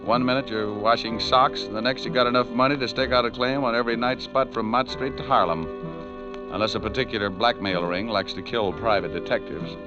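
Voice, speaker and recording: A man's voice: mid-range voice, fairly even intonation, fairly quick, close to an everyday voice. An actor playing a cocky, glib male private detective with sardonic humour in a 1940s radio drama. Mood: wry narrating. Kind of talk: 1940s radio detective acting, dialogue and first-person narration. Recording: dull old radio recording with music.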